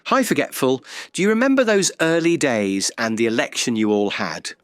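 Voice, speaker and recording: A man's voice: mid-range voice, animated, normal speed, a bit bright, no echo, studio quality, close to an everyday voice. A man playing an inquisitive interviewer who asks questions in a mock documentary audio drama. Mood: curious.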